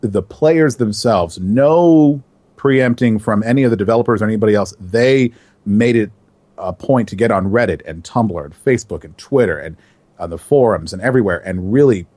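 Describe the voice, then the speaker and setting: A man who works as a voice actor answers interview questions in a chatty, animated way and laughs easily. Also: lowish voice, normal speed, no room sound. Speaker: voice actor, guest.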